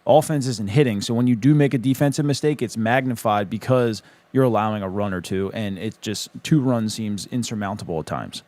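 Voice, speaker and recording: A man's voice: lowish voice, a little fast, hardly any pauses, darkish tone, no echo. A man co-hosting a casual sports talk show, blunt and wry. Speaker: sports podcast co-host.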